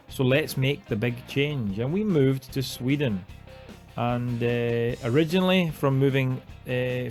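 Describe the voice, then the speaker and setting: A man's voice: mid-range voice, darkish tone. A man in his thirties from Glasgow with a Scottish accent, talking casually to camera as a farm vlog host, self-deprecating and full of ums and uhs. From Glasgow, Scotland. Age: 30s.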